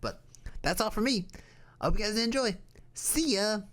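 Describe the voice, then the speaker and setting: A man voicing an excitable, silly, cheeky sidekick mascot in an audio series, exclamatory and teasing. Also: sits high, normal speed, pitched above the performer's own voice. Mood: cheery.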